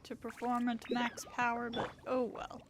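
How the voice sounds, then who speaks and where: High-pitched woman streaming a game. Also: monotone, moderate pace, background noise. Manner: rambling, thinking aloud. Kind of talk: casual live gameplay commentary. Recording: game music behind the voice.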